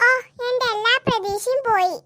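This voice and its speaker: A performer voicing a naive, chatty, food-obsessed little boy in a comedy cartoon, with a childlike squeaky voice and eager questions. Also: normal speed, sharp tone, no room sound, studio quality. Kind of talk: Malayalam comedy cartoon dialogue.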